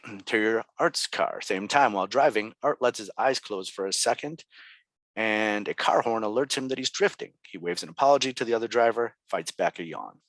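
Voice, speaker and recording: A man's voice: lowish voice, animated, moderate pace, fairly bright tone, dry recording. A man reading screenplay scene directions aloud at a table read, as the narrator. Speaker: reader of stage directions.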